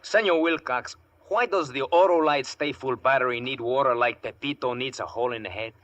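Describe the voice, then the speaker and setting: A man's voice: medium pitch, fairly quick, fairly bright tone, faint music behind, lightly acted. A man playing a cheerful, curious Mexican sidekick with a Mexican accent in a comic radio commercial. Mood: playful.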